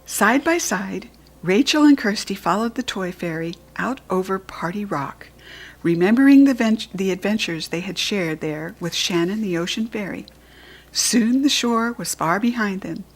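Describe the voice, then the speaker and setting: A woman with an American accent reading a children's book aloud in a clear, expressive voice. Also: medium pitch, moderate pace, rough recording.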